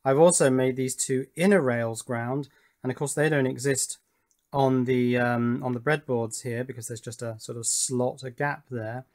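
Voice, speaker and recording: A man's voice: medium pitch, fairly even intonation, moderate pace, a little mellow. A man hosting a hobby electronics video, talking through his build unscripted in a matter-of-fact, technical and self-critical way. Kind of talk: unscripted workbench explanation.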